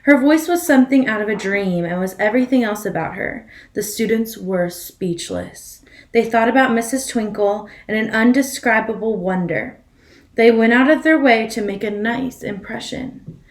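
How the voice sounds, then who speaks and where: Casting: female children's storyteller, expressive read-aloud, fairly high voice, recorded in a reverberant room. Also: moderate pace. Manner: asks listeners questions about the story. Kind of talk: expressive children's picture book reading. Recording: echoey room.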